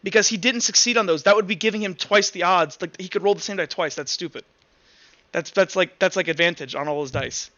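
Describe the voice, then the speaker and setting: A man acting as game master, insistently explaining rules and improvising narration in halting bursts. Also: high voice, pushed and bright, no room sound, muffled recording. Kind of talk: improvised tabletop roleplay narration and rules talk.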